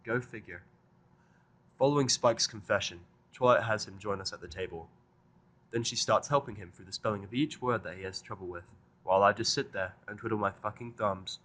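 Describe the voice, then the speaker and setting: A man's voice: on the low side, brisk, fairly bright tone. A man reading a fan fiction story aloud as a scripted first-person narrator.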